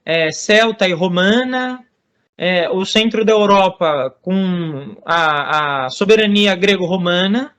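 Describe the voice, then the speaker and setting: A man with a Brazilian Portuguese accent, explaining history as an interview guest, measured with many hesitations and restarts. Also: sits high, fairly bright tone, clear, resonant tone. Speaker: author and guest on Norse religion.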